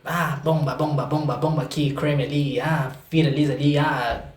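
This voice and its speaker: A young Brazilian man chatting casually as an interview guest, with a playful, expressive manner and words repeated in quick bursts. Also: a little high. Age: young adult. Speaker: podcast guest, singer-songwriter. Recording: echoey room sound.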